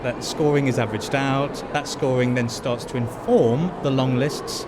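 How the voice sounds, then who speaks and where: A man giving earnest, explanatory interview answers at an event venue, stressing points by repeating them. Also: mid-range voice. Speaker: head of awards judging. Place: event venue before ceremony. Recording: noisy busy venue ambience.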